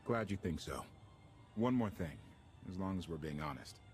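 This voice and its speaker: A blunt ex-warship captain. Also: male voice, low-pitched, lively intonation, normal speed, darkish tone. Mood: serious. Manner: casual, plain-spoken. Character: blunt former warship captain, earnest man. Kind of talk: video game cutscene acting. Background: game music.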